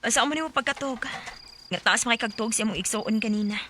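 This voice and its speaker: A young woman with a high voice and a Cebuano accent, played by an actress in a radio drama. She is a reserved girlfriend telling her own story, over a slightly noisy recording. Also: brisk, a bit bright, natural voice. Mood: uneasy, suspicious.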